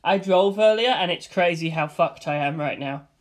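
A man's voice: on the high side, normal speed, natural voice. A man voicing a spaced-out stoner dinosaur who talks in a rambling, casual way.

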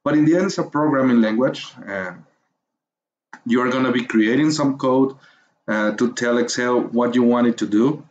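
A man from Mexico with a Spanish accent, whose first language is Spanish, teaching a beginner's course in an encouraging, hesitant way, pausing often to find his words. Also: medium pitch, highs cut off, a little hiss or hum. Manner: hesitant, many fillers and pauses while finding words. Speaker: course instructor. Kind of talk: screencast tutorial narration.

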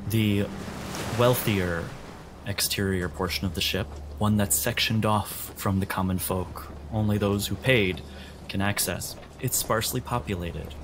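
A man running a tabletop game as game master, narrating scenes descriptively in a measured way. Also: lowish voice, background noise. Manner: measured, addresses players in second person.

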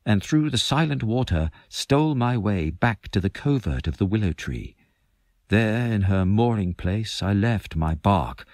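A man giving a dramatic poetry reading in a measured, solemn manner. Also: lowish voice, a little mellow, dry recording, clean studio sound. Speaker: poetry reader.

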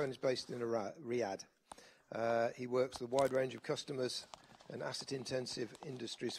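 A man's voice: medium pitch, slight background noise. A man chairing a conference session, introducing the next speaker hesitantly with many fillers.

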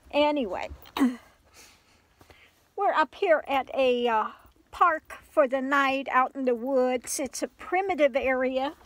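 Stuffy-nosed older woman, slow chat. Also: sits high. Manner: rambling, frequent throat clearing and soft laughs. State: stuffed up with a cold, sore throat. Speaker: vlogger. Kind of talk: casual chat to camera. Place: inside a small camper van at night. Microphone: phone camera at arm's length.

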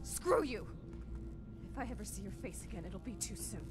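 An actress playing a tough, grieving young vault mechanic in a video game, speaking bluntly and emotionally. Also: female voice, mid-range voice, expressive, normal speed, over music, natural voice. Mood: bitter, angry. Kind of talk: video game character acting.

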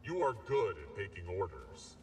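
Low, cold killer droid. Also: male voice, huge pitch swings, normal speed, darkish tone, slight background noise, exaggerated voice. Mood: cold, commanding. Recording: long reverb, faint game ambience.

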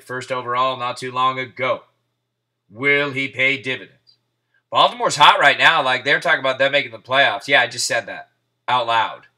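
A man hosting a live card break, calling out players and teams in quick succession with hyped asides, his voice getting hoarse. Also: medium pitch, bright, forceful tone. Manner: rapid-fire name calling, hyped asides. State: losing his voice. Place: indoors at a table.

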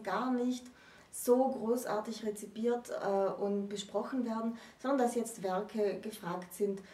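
Roomy, distant, mellow-voiced woman presenting. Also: medium pitch. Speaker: co-host presenting books. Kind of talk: explanatory literary discussion to camera. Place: room with bookshelves, indoors. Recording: roomy echo, distant microphone.

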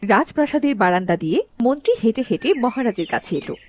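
A woman's voice: on the high side, big pitch swings, fast talker, a little mellow, a little hiss or hum. A woman narrating a cartoon fairy tale in a scripted storytelling style.

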